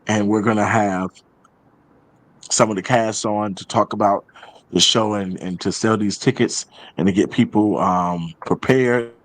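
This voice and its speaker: A man's voice: lowish voice, fairly even intonation, a little slow, no echo. A man hosting a show, chatting casually through announcements and often repeating phrases for emphasis. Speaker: show host and playwright.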